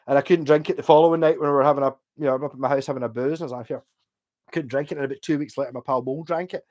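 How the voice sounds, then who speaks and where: Glaswegian male streamer, loose rambling chat, casual swearing, answers viewer comments. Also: mid-range voice. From Glasgow, Scotland. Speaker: livestream host.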